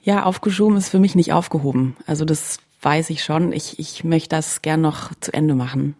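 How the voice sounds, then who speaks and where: A woman's voice: low-pitched, normal speed, darkish tone. A woman from Germany, a musician being interviewed, speaking reflectively and searching thoughtfully for her words. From Berlin, Germany.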